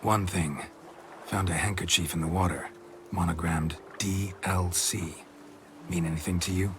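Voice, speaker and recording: An actor playing a stoic, gruff monster hunter in a video game, with a clipped, deadpan delivery in a gravelly growl. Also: male voice, sits low, measured pace, background noise. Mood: businesslike. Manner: clipped, deadpan, drops subjects from sentences. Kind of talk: video game cutscene acting.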